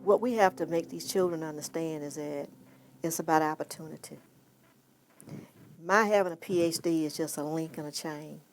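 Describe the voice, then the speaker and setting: A woman's voice: sits low, moderate pace. A woman giving an earnest documentary interview, speaking emphatically and repeating key phrases for weight.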